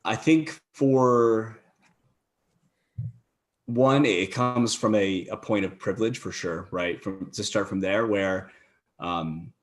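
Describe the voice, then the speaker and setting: A man's voice: on the low side, slow pace, pauses often. A man talking as a podcast guest in a thoughtful way, with lots of "you know" fillers. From United States. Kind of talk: casual conversation.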